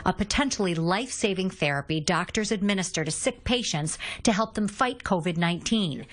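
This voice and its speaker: A woman's voice: on the low side, lively intonation, fairly quick. A woman with an American accent reading scripted broadcast news as a medical reporter.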